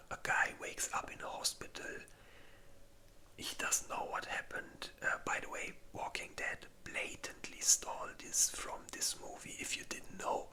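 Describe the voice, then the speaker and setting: An ASMR host speaking entirely in a breathy whisper, rambling informally through a casual review and often searching for words. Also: sharp tone.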